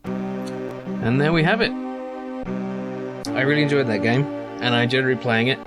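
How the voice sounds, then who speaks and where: A man's voice: mid-range voice, moderate pace, over loud music. A man giving casual, musing game commentary as a let's play host, hesitant and lightly amused.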